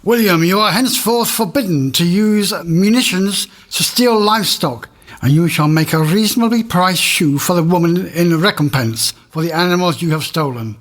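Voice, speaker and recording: Man playing a pompous, high-voiced village judge. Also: moderate pace, natural voice. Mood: pompous ruling. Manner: pompous, officious. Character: pompous male village court judge of olden times. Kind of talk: comic historical audio drama.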